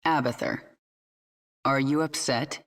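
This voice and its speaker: A woman's voice: rumbling low, steady tone, slow pace, heavily acted. An actress playing a commanding, determined alien swarm queen in a video game, speaking with steely authority. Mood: composed, dry. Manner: authoritative, resolute. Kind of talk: video game cinematic acting. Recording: game music behind.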